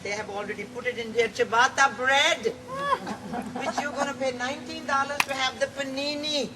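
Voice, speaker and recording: A woman from Pakistan with a Pakistani accent, performing a satirical monologue in a deliberate, dramatic way. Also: sits high, steady tone, music in the background. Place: live venue, cafe. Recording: distant mic, clear background noise.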